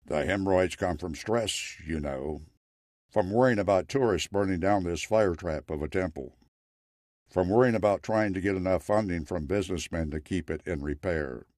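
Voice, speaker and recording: Man narrating as a deep-voiced, candid Zen master. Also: moderate pace, darkish tone, no room sound, clean studio sound, lightly acted. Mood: weary, candid. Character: candid, weary, wise male Zen temple master. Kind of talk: storytelling character quote.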